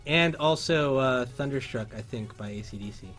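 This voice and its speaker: A man's voice: medium pitch, moderate pace, a bit bright, faint music behind. A man in his thirties from Cuba, hosting a casual livestream and reading chat questions aloud through a headset. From Cuban. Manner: upbeat, reads chat aloud, sings along. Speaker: livestream host. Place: workshop studio indoors.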